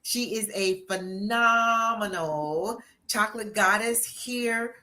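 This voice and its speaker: A woman in her sixties hosting a live-stream interview, energetic and encouraging with a motivational push. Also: mid-range voice, takes it slowly. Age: 60s. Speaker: interviewer and book coach.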